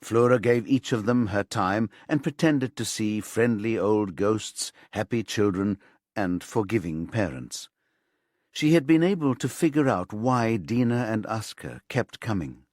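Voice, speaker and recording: A man reading a crime thriller audiobook as scripted narration in a measured, storytelling way. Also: lowish voice, expressive, darkish tone, no room sound, studio quality.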